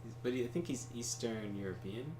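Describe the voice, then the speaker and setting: A man's voice: medium pitch, animated, a little fast. A man chatting casually over a game, telling rambling anecdotes full of fillers and chuckles. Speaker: co-host of a game stream. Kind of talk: casual chat while gaming. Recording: roomy echo, faint background noise.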